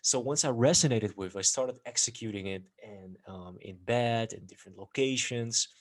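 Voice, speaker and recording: A man's voice: medium pitch, moderate pace. A man with a Dutch accent hosting a casual podcast interview, speaking hesitantly with lots of fillers and restarts. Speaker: podcast host.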